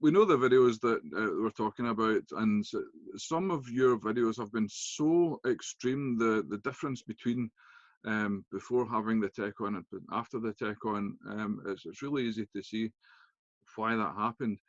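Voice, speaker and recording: A Scottish man hosts a casual interview chat and keeps stopping to restart his sentences. His voice sits at a middle pitch. The sound is dry and a little dull. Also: no echo. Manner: halting, with many fillers and restarts. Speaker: interview host.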